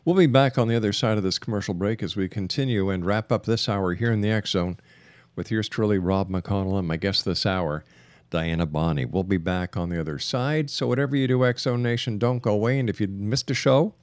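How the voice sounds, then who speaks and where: Low-pitched male radio interviewer. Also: a little fast, no echo. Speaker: radio host.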